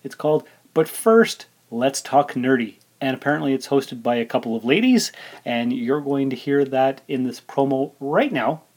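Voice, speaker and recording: A man's voice: medium pitch, animated, normal speed, darkish tone. A man in his forties hosting a solo podcast, talking informally and thinking aloud as he rambles along. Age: 40s. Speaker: podcast host. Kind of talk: casual solo podcast monologue.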